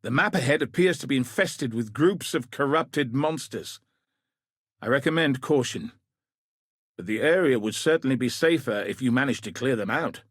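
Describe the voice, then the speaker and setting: A battle-scarred military commander from a video game, grave and plain-spoken. His voice is husky and rough at the edges, with a middling male pitch. He speaks with measured, world-weary dryness, recorded close with no room sound. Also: expressive, no room sound. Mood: businesslike, wary. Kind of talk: video game character dialogue.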